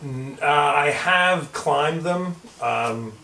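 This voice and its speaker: A man's voice: fairly high pitch, extremely slow, gravelly, pushed and bright. Man chatting casually in an echoey room. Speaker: firefighter in a panel chat. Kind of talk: casual chat, storytelling. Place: indoor workshop. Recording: echoey room, distant mic, background noise.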